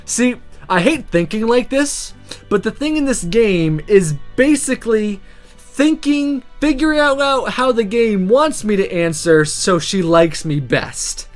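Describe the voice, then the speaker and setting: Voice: mid-range voice, lively intonation, unhurried. A video game host chatting casually and thinking aloud while playing and narrating a visual novel. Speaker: host of a gaming video series. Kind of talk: let's play commentary and read-aloud narration of a visual novel. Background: music.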